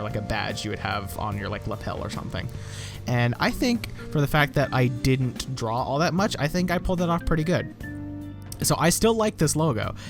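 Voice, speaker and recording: Rambling American guy over guitar music. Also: mid-range voice. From United States. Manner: rambling, self-deprecating, swears casually. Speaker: YouTuber. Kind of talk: casual commentary reacting to old videos.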